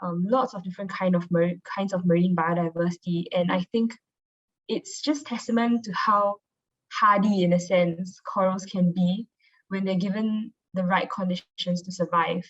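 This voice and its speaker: A woman's voice: lowish voice, steady tone, normal speed. A young woman giving an informal, conversational presentation as a marine biologist over a video call. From Singapore. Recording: dull, lo-fi online call audio.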